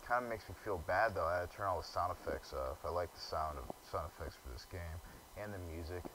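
Gamer, young man, deep low voice, casual grumbling commentary. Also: fast talker, a bit bright, a little hiss or hum, poor audio. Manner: casual, grumbling, stumbles reading quest text aloud. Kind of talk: let's play commentary.

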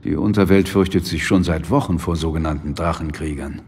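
Ein Schauspieler spielt in einem Hörspiel einen nüchternen, wachsamen britischen Polizeiinspektor mit sachlicher, skeptischer Art. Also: male voice, a bass voice, a little fast, a little mellow, breathy edge, in a large hall, heavily acted. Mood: wary, sober. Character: sober, wary male British police inspector. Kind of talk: naturalistic audio drama acting. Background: music.

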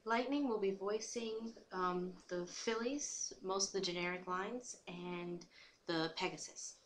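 A woman's voice: medium pitch, measured pace. A woman casually introducing voice auditions as one of the actors. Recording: noisy home recording.

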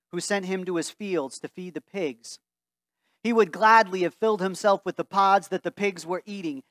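High-voiced pastor reading scripture. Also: male voice, a bit bright, no echo. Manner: earnest, reads aloud from a Bible while standing. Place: church sanctuary before a stained-glass wall.

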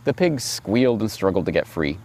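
A man in his forties giving reflective commentary and reading aloud, deliberate and emphatic, recorded outdoors on a lapel mic. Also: fairly low pitch, very animated, darkish tone. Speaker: host and commentator. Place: outdoors on grass by trees and water.

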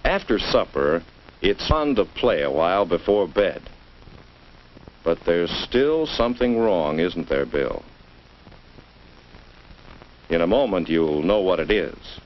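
A man with an American accent narrating a 1950s instructional film in a measured way, as if speaking directly to a child. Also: low-pitched, sing-song. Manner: measured, addresses the child directly with rhetorical questions. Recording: dull, noisy vintage educational film soundtrack.

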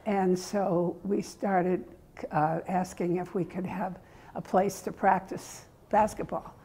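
A woman's voice: mid-range voice, breathy edge, rough recording. An older woman steadily recounting how her team began. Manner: steady narrative telling. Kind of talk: documentary recollection.

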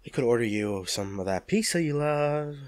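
A male actor plays a devoted, protective partner in an intimate audio roleplay. He has a medium-pitched voice with a tender, reassuring, pleading way of talking to an injured loved one. The sound is dry, with no room around it. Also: lively intonation, normal speed, a little mellow, dry recording, close to an everyday voice. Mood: gentle, coaxing.

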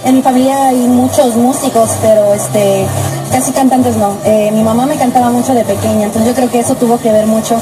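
Young female singer, 20s, relaxed and friendly interviewee; music in background. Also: fairly high pitch, a little fast, low-quality recording. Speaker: singer guest. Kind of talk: casual radio interview.

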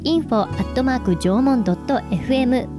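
High-voiced woman hosting radio over music. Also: a little fast. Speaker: radio host. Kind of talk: radio hosting, announcements and chat. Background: background music.

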